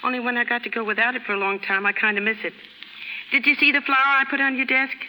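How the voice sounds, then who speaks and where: An American actress playing a brash, warm-hearted young working-class woman in a 1930s radio drama, slangy, ungrammatical and cheeky. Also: fairly high pitch, steady tone, fast. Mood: caring, frank. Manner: slangy, ungrammatical, cheeky, putting on airs at times. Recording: hissy 1930s radio recording, reverberant stage.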